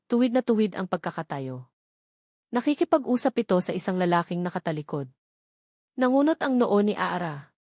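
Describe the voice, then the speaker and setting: A woman with a Filipino accent narrating a scripted love story in a measured, expressive, dramatic way. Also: mid-range voice, no echo, studio quality.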